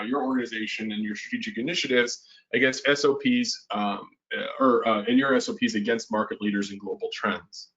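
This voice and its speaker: A man's voice: fairly low pitch, fairly bright tone. Guy giving a business webinar, lots of uhs and right?, kinda muffled computer mic sound. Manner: casual, frequent "uh" fillers and restarts, tag "right?". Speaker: webinar presenter. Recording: slightly dull webinar audio.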